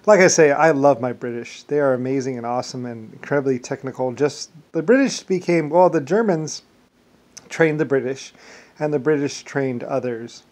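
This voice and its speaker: A man in his forties talking casually to camera in a rambling, halting way, often repeating words for emphasis. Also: a little high, a little mellow. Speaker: vlogger. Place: small wood-furnished room at home. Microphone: phone or webcam at arm's length.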